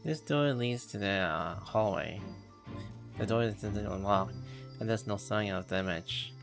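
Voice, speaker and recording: Man, game livestream, lowish voice, creaky, mumbled, halting, loud music behind. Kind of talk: live playthrough, reading and commenting.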